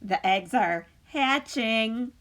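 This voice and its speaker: Woman voicing an animated, deliberate storybook pig. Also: on the high side, exaggerated voice. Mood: matter-of-fact. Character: calm, matter-of-fact female pig friend in a storybook. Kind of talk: expressive picture book read-aloud.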